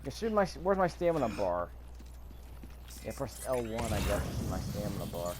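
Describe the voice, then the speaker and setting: A man's voice: on the low side, sing-song, moderate pace, very noisy. Offhand man muttering game commentary. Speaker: video game player recording commentary. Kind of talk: casual let's play commentary. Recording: game sound under the voice. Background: video game ambience.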